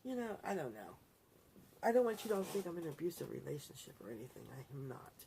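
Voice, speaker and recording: Middle-aged woman rambling on her vlog, low voice with lots of vocal fry. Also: very animated, fast, dark tone, a little hiss or hum. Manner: rambling, chatty, self-correcting. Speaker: vlogger. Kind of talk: casual talk to camera.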